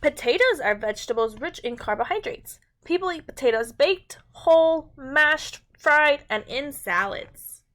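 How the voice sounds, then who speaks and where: A woman's voice: high-pitched, animated, measured pace, fairly bright tone. A woman reading a picture book aloud to young children and chatting to them in simple, encouraging language.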